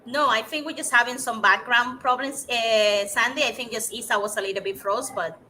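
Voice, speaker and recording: A woman's voice: fairly high pitch, fairly even intonation, brisk, pushed and bright, slight background noise. A woman chatting casually as co-host of a live online show. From Cuba. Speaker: co-host, mentor.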